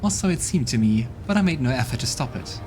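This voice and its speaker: A man's voice: medium pitch, lively intonation, fast, close to an everyday voice. A man voicing an arrogant, haughty female demon who talks with contempt, over game music.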